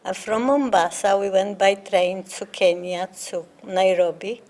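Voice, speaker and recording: An older woman with a Polish accent, Polish her first language, telling her life story in an interview, halting with many fillers and pauses. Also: mid-range voice, steady tone, darkish tone. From Poland. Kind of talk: oral history interview.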